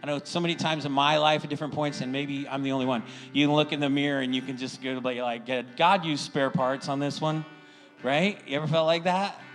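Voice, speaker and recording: A middle-aged American man addresses his church as its pastor. His delivery is informal, folksy and encouraging, often seeking agreement from the congregation. Soft guitar music plays faintly behind his mid-pitched voice. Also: steady tone, a little fast. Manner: folksy, encouraging, checks in with "right?". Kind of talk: informal sermon and church announcements.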